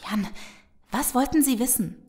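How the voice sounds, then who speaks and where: An actress playing a careful, caring survivor in an audio drama, reasoning and reassuring. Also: female voice, high voice, expressive, moderate pace, a little mellow. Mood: concerned. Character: careful, caring female survivor tending wounded. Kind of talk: German audio drama acting.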